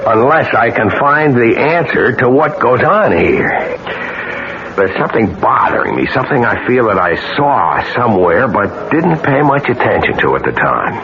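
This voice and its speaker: Stubborn rattled husband, old radio drama, swingy intense delivery, muffled noisy tape. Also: male voice, mid-range voice, sing-song, normal speed, music in the background. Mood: brooding. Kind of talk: 1970s radio drama acting.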